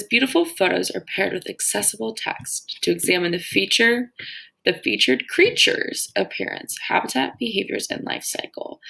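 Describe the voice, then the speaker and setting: A woman teacher doing an educational read-aloud for children, explaining as she reads and pausing to wonder aloud and ask questions. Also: medium pitch, vocal fry, fairly bright tone, low-quality recording. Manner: explanatory, pauses to wonder aloud and pose questions to young listeners. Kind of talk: educational read-aloud of a children's nonfiction book with think-aloud comments.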